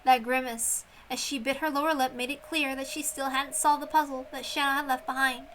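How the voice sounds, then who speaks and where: A woman's voice: high-pitched, fairly even intonation, a little fast, a bit bright, slight background noise. A woman reading a visual novel aloud in a dramatic storytelling style. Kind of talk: dramatic story narration with character voices.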